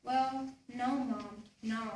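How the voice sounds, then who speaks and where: A woman's voice: on the high side, steady tone, very slow, a little mellow. A woman playing a resentful, complaining grown child in a readers theater performance. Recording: echoey room, distant mic, faint noise.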